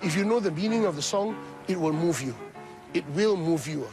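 A man's voice: on the high side, expressive, normal speed, music in the background. A man interviewed outdoors, speaking emphatically and drawing out his words. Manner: emphatic, drawn out.

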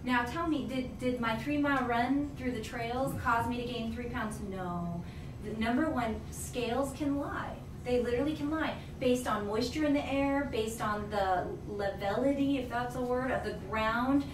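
A woman's voice: on the high side, normal speed. A woman in her thirties, a nutritionist guest on a radio show interview, speaking emphatically and energetically in a teaching tone, recorded indoors in a small office by a distant camera. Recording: echoey room, distant mic, background noise.

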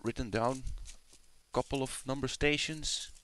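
A man's voice: medium pitch, steady tone, measured pace. A man giving casual, off-the-cuff commentary on a screen recording, in an amused manner and with casual swearing. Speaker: narrator of a screen demonstration. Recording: hissy with loud radio static. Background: shortwave radio static and signals.

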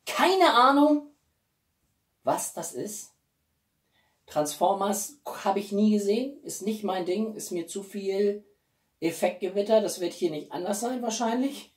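A man in his forties chatting casually to viewers as a video host, with an expressive, emphatic delivery and dramatic pauses. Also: high voice. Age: 40s. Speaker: YouTube host. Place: small living room indoors. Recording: roomy echo, distant mic.

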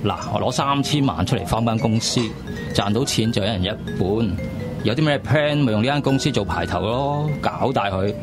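A man's voice: lowish voice, lively intonation, normal speed, natural voice. A man with a Hong Kong Cantonese accent, playing a brash, wealthy businessman. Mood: boastful. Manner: brash, expansive. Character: brash rich businessman backing a film company. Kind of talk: Cantonese web radio drama. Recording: music under the voice.

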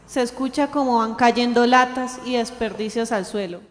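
A woman's voice: on the high side, normal speed. A young woman reading scripted narration for a radio drama. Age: young adult. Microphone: handheld microphone. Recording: echoey hall-like room, music behind.

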